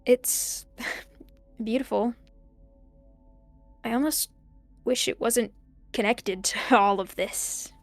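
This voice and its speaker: A woman's voice: on the high side, no echo, soft background music. A young woman playing a lonely, bookish student recording a hesitant, reflective audio diary for an audio drama. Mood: quietly moved. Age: young adult. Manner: halting, reflective, trailing off. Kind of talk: intimate audio diary monologue.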